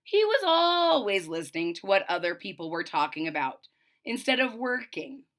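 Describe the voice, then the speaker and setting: A woman reading a children's storybook aloud expressively, with deliberate, emphatic phrasing and simple explanations. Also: medium pitch, very animated, fairly bright tone. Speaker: storybook reader.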